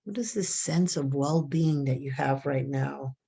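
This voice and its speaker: A woman leading a guided relaxation meditation, giving soft, calm, drawn-out breathing instructions. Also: very deep voice, vocal fry, slightly rough.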